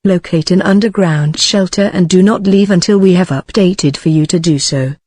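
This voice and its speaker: Synthetic voice, middle pitch, dry recording, emergency announcer, scripted broadcast alert. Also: normal speed, a little mellow, dry recording.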